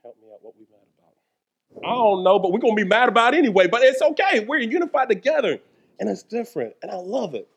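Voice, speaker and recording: A man's voice: high voice, sing-song, fast talker, gravelly, slight background noise. A male pastor preaching in a church service, playful and joking, calling out to the congregation. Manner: playful, jokey, calls to the congregation. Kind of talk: sermon.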